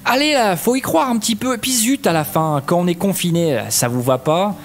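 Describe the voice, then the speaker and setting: A practical, impatient organiser played by a man in a comedy audio drama, speaking in a slightly high, everyday voice. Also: lively intonation, a little fast. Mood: exasperated.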